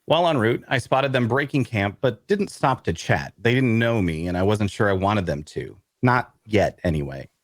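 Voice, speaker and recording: An actor playing an awkward, bookish loner adventurer who narrates an audio drama in a wry way. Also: male voice, lowish voice, expressive, normal speed, hardly any pauses, dry recording, clean studio sound. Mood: wry narrating. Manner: wry, hesitant in conversation. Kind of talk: first-person narration and dialogue in audio drama.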